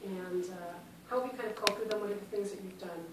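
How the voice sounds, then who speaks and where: A woman's voice: medium pitch, fast talker. A woman from Britain moderating a panel, relating to the speakers and asking follow-up questions. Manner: asks questions, relates to others. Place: large hall. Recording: echoey hall, mic far off, noisy.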